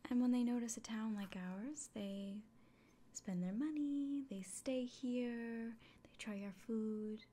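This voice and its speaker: A woman playing a kindly village innkeeper in a fantasy ASMR roleplay, speaking in a soft, breathy whisper with gentle, hesitant pauses. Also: a little high. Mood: earnest, encouraging. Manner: gentle, unhurried, hesitant pauses, fussing over the listener. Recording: close soft recording with clear background noise.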